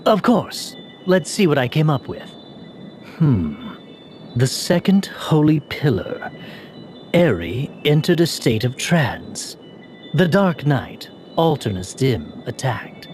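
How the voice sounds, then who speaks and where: A thoughtful young hero from a fantasy game. He speaks slowly and hesitantly, with a very lively range of pitch. There is faint background noise. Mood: pondering. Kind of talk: video game voice acting.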